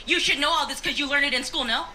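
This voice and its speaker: A woman's voice: sits high, fast, pushed and bright. A woman in a debate, asking pointed, challenging questions. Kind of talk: street debate.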